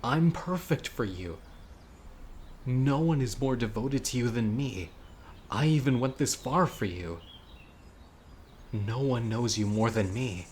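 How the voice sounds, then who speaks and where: A man playing an obsessive, dying young witch in an audio roleplay, speaking to his beloved in a deliberate, intimate, pleading way. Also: medium pitch, expressive, darkish tone, slight background noise. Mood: agitated, desperate. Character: obsessive, dying young male witch, devoted yandere lover. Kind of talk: audio roleplay, dramatic monologue to listener. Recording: outdoor nature ambience, crickets and birds. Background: insects, birdsong.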